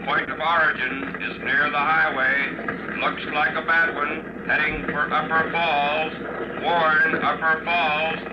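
Urgent patrol warden, slow, measured, fairly high voice, old radio drama under heavy noise. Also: male voice, level tone. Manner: clipped, repeating, official. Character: urgent, businesslike male air patrol warden. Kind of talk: 1940s radio drama acting. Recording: muffled old radio, loud engine-like noise.

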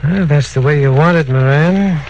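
An actor playing a curt, businesslike, commanding crime boss in a 1940s radio drama. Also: male voice, fairly high pitch, moderate pace, muffled recording, lightly acted. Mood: firm, curt. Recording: noisy 1940s radio broadcast recording.

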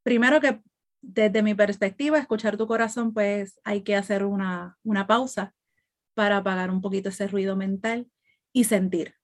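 A woman co-hosting a podcast, speaking reflectively with many pauses, fillers and restarts. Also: medium pitch, steady tone. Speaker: podcast co-host.

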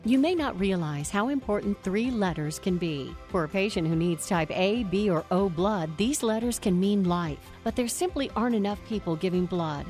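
A woman's voice: mid-range voice, lively intonation, moderate pace, hardly any pauses, darkish tone. A woman reading a scripted public service appeal for radio as an announcer. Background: faint music.

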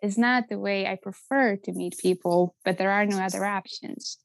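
A woman's voice: medium pitch, fairly even intonation, normal speed, gravelly, no echo. A woman from Sweden with a Swedish accent, chatting earnestly as an interview guest and filling her speech with "like". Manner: earnest and chatty, many filler likes. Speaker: guest, app founder. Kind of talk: conversational interview.

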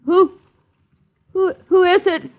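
An actress playing a timid, scheming wife who stammers and repeats herself in uneducated speech, in a 1950s radio drama. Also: female voice, very high-pitched, takes it slowly, darkish tone, full character voice. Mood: alarmed. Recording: dull, muffled vintage radio recording.

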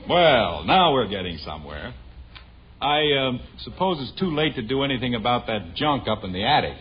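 A man's voice: mid-range voice, big pitch swings, moderate pace, lo-fi sound, close to an everyday voice. A man playing a wry, teasing suburban father in a 1950s radio sitcom, sarcastic and mildly exasperated, on an old broadcast recording. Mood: skeptical.

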